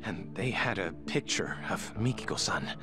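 An actor playing a formal, hesitant middle-aged doctor with secrets in a video game. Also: male voice, low-pitched, animated, normal speed, music in the background. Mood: uneasy.

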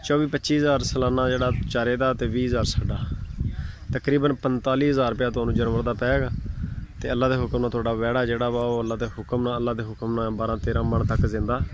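A man's voice: medium pitch, flat delivery, fast. A man with a Pakistani Punjabi accent giving a casual walking tour of his cattle farm outdoors, talking plainly and sprinkling in religious phrases. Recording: outdoor phone recording, background noise.

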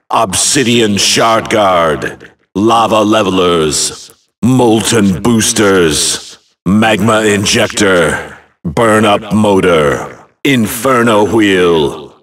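Video game announcer: lowish voice, very slow and emphatic, booming showman, slightly off-mic. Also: a bit bright, recorded from a distance, exaggerated voice. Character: booming, dramatic male arena announcer. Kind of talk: dramatic video game announcer calling out names.

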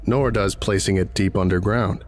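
A man reading scripted documentary-style narration in a formal, clinical, measured way. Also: low voice, fairly even intonation, soft background music.

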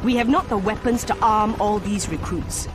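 An actress playing a stern, fervent revolutionary leader in a video game, formal and declamatory. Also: female voice, medium pitch, moderate pace, over music, natural voice. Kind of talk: video game cutscene acting.

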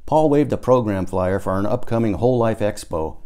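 A man's voice: fairly low pitch, brisk, warm tone, smooth. A man reading his own novel aloud as audiobook narration.

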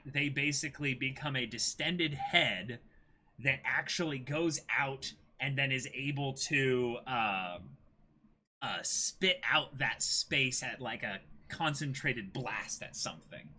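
Bright-voiced young man chatting. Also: mid-range voice, unhurried. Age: 20s. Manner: animated, laughs often. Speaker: co-host of an anime and manga discussion stream. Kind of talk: casual chat.

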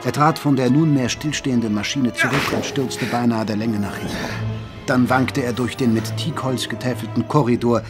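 A man's voice: medium pitch, normal speed, music in the background, natural voice. A man playing a grave, literary Victorian chronicler, narrating an audio drama in a solemn storytelling manner. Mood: grave narration.

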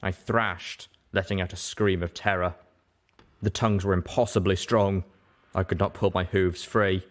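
A man's voice: deep voice, fairly even intonation, moderate pace. A man reading a first-person story aloud as scripted narration. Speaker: audiobook reader.

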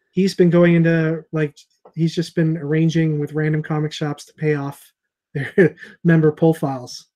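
A man's voice: a little high, darkish tone. A man in his thirties who owns a comic shop, hosting a casual chat over a video call from a room at home with an earphone mic. He rambles a little, with lots of fillers and restarts. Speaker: comic shop owner and host. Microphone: earphone headset on a video call.

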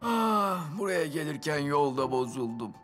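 A man's voice: a little high, very animated, a little slow, fairly bright tone. A man voicing an earnest, polite and friendly van in a children's cartoon dub, with music behind. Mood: friendly, chatty.

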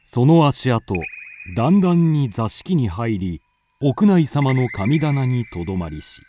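Slow, mellow man narrating solemnly. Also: medium pitch, very animated, slight background noise. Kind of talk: classical scripted narration.

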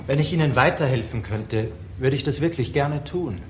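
A man's voice: mid-range voice, normal speed, natural voice. Actor as an evasive, polite male suspect, roomy sound. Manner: guarded, courteous. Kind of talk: German crime radio drama acting. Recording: noisy, roomy radio drama recording.

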